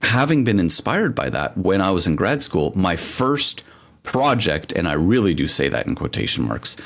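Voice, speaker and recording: A man's voice: low-pitched, moderate pace, vocal fry. A man co-hosting a podcast, chatting casually and telling rambling stories full of asides. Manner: rambling, animated storytelling with frequent asides. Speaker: podcast co-host.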